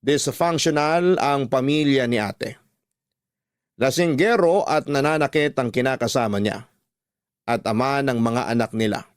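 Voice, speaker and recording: An actor playing a reflective man who recounts his family story in a measured, confiding way for a radio drama. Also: medium pitch, clear, resonant tone, dry recording, clean studio sound, close to an everyday voice. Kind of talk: scripted first-person storytelling for radio drama.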